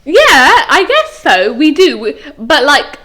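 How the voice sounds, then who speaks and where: A young woman from an Afghan Sikh family, co-hosting a casual podcast chat, animated, with frequent restarts. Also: sits high, slow pace. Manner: animated, restarts often, laughs through stories. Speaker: podcast co-host.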